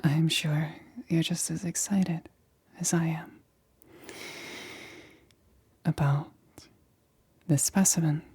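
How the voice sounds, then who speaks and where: A woman performs an ASMR roleplay as a careful scientist, in a very breathy whisper. Her delivery is slow and fairly flat, with a deliberate, clinical way of giving instructions. The sound is dry, with no room around the voice. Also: low-pitched, no echo. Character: careful, excited female scientist examining an alien specimen.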